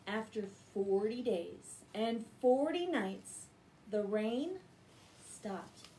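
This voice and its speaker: A middle-aged woman with an American accent speaks to children as a bedtime storyteller. Her voice sits on the high side and she takes her time. It is a home recording with some noise behind her. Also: animated, extremely slow, dark tone, poor audio. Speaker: children's Bible story reader. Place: living room at home, indoors.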